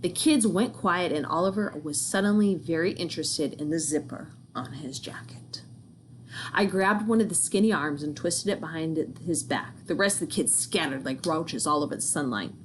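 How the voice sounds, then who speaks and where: A woman reading a children's novel aloud, telling it in the first person in an expressive, folksy manner. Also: sits low, moderate pace. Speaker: book reader. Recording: faint background noise.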